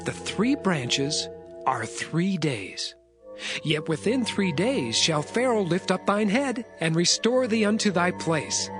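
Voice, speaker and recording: Man acting earnest young Hebrew prophet, lively pitch. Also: slow, heavily acted. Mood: earnest, solemn. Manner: solemn, archaic scriptural phrasing. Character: Joseph, young Hebrew prisoner, earnest dream interpreter. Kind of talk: dramatized Bible audio acting. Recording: music behind the voice.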